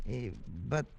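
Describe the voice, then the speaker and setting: A man playing a fretful, insinuating Portuguese landlord in an old radio drama, with a gravelly voice and halting broken English. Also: a little mellow. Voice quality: gravelly, creaky. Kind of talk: 1940s radio acting. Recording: vintage radio drama recording.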